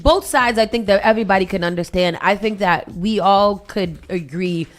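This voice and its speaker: A woman, co-hosting a morning radio show. She talks in a blunt, opinionated way, loose and full of slang, at an everyday pitch. It is casual studio chat. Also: normal speed, soft background music. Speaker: radio co-host.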